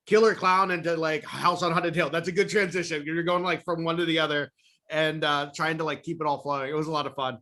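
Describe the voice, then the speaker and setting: Bright-voiced man, around thirty, chatty guest. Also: fairly high pitch, fairly even intonation, quick pace. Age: 30s. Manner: upbeat and rambling, many "uh" and "like". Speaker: guest, film podcaster. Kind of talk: casual chat.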